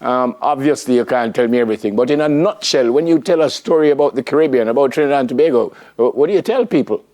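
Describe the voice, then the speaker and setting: A man's voice: mid-range voice, lively intonation, fast talker, a little mellow. A man hosting a morning TV interview, admiring and playful with his guest. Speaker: TV morning show host. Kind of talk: casual TV interview.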